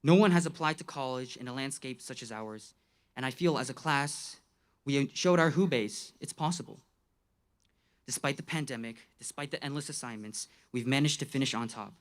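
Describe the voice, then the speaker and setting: A man's voice: mid-range voice, normal speed. A young man in his late teens giving a student graduation speech, playful and tongue-in-cheek, with slang and dramatic emphasis.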